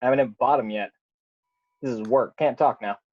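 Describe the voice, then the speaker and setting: A man's voice: mid-range voice, normal speed, lightly acted. A man playing a hard-working spaceship executive officer in an amateur table read, giving short, clipped reports. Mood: strained reporting. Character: game, hard-working male executive officer descending a dark shaft. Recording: dull, roomy home microphone.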